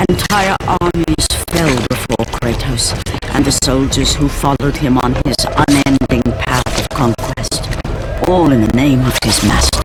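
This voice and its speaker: A woman playing a solemn, foreboding storyteller, narrating a video game tale of ancient war in a grave, deliberate manner. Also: very deep voice, exaggerated voice, rough recording. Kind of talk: dramatic scripted game narration. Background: dramatic music.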